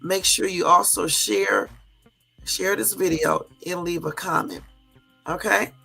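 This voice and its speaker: Hoarse woman hosting a livestream. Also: on the low side, big pitch swings, takes it slowly, sharp tone, soft background music, poor audio. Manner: chatty, checks in with listeners with "okay?" and "y'all". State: hoarse from a cold. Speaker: livestream host. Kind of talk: casual livestream chat.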